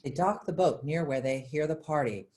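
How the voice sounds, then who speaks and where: A woman's voice: low-pitched, moderate pace, a little mellow. A woman narrator reading stage directions as scripted narration.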